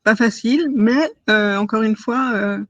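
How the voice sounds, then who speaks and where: A woman's voice: on the high side, measured pace, fairly bright tone, no echo, highs cut off. A woman in her forties giving a personal testimony in an interview, hesitant, with many fillers and restarts and words she stresses as if in quotes. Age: 40s.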